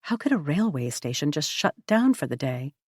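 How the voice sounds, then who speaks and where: A woman narrating a novel in the first person, with a measured, even storytelling delivery. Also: low voice, husky, no echo, clean studio sound. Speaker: audiobook narrator.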